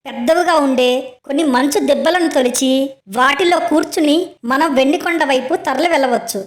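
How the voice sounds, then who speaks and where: A woman's voice: sits high, a little fast, roomy sound, close to an everyday voice. A woman voicing a brave, clever, kind young female penguin leader in a children's cartoon, with a reassuring, decisive way of talking. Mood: confident, planning. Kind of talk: children's animated story acting.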